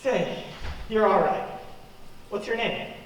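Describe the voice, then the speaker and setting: A man's voice: high-pitched, slow. A man playing an earnest young fugitive wrongly accused of murder in an old-time radio play. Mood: charming, conspiratorial. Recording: echoey hall, far from mic, noisy.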